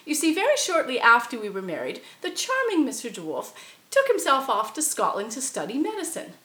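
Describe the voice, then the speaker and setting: A woman playing the ghost of a murdered 1870s wife, telling her story theatrically, swinging from shrieks to hushed confiding. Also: sits high, huge pitch swings, brisk, echoey room, exaggerated voice. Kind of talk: costumed ghost monologue.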